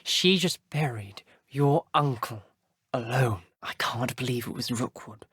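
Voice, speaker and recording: A man's voice: medium pitch, measured pace, fairly bright tone, breathy edge, no echo, clean studio sound. A young man with a British accent voicing an earnest, guarded teenage wizard schoolboy in a video game, speaking in clipped, formal phrases. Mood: somber. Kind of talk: video game character dialogue.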